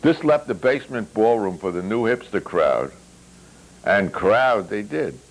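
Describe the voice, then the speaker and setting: A man reading a novel aloud as its author, with wry, deliberate phrasing and hesitant asides. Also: lowish voice, lively intonation, poor audio. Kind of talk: literary reading with spoken asides.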